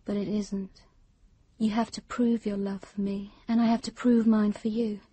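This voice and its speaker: An actress in a radio drama playing an intense, obsessive young woman lover, earnest and solemn, with a soft breathy voice. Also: medium pitch, flat delivery, normal speed, no room sound, natural voice. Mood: ardent, earnest.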